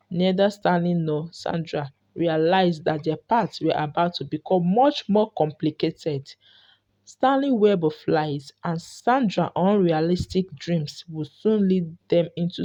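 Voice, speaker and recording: Mellow, lower-pitched female storyteller. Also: highs cut off. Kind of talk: scripted folktale narration.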